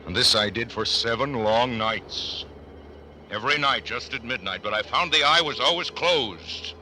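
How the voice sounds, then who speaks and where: An actor playing a nervous, cunning, mad murderer confessing in a theatrical horror monologue from a classic radio play, swinging between loud declaiming and hushed whispers. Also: male voice, normal speed. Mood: intense, explaining. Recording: noisy old radio recording, vehicle rumble. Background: vehicle noise from a driving game.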